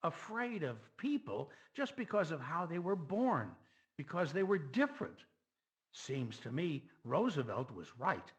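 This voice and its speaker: A man playing an earnest, expressive higher-voiced citizen. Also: measured pace. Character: earnest, reflective man speaking his conscience. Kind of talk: scripted audio play reading.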